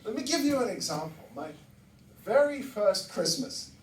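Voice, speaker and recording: A man from Barbados doing a stand-up comedy set, swearing and jumping high in pitch for punchlines. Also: big pitch swings, moderate pace, roomy sound, far from the mic, slight background noise. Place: comedy club stage with audience. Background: audience laughter.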